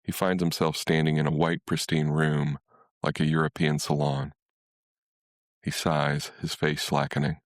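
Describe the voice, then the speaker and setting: A man's voice: rumbling low, level tone, measured pace, no room sound, studio quality. A man reading a literary short story as scripted narration.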